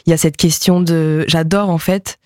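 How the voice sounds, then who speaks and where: Musician guest, man whose first language is Japanese, hesitant, slightly high voice, dry sound. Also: steady tone, normal speed, a little mellow, clean tone, no room sound. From France, near Nantes. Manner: hesitant, many fillers and restarts. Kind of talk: interview answers.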